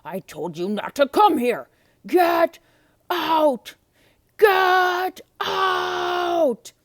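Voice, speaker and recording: A woman voicing a large male storybook beast, gruff and forceful in temper but bashfully tender underneath. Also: very high-pitched, lively intonation, very slow, no room sound, clean studio sound, pitched above the performer's own voice, heavily acted. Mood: furious.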